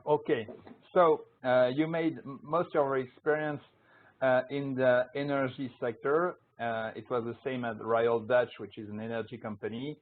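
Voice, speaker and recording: A man's voice: medium pitch, fairly even intonation, normal speed. A man hosting a radio show, introducing his guest casually with many hesitations and fillers. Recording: tinny phone-line audio.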